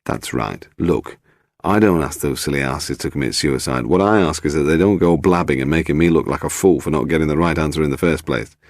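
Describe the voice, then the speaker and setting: A man's voice: rumbling low, a little fast, no echo. A man voicing a cynical, brusque male investigator with clipped, impatient British speech in a mystery audiobook. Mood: dismissive.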